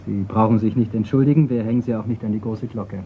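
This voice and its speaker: An actor playing a brisk, casual and bluntly wry private detective in a radio crime play. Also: male voice, lowish voice, steady tone, lightly acted. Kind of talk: radio crime drama acting. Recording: noisy, narrow-band radio play sound.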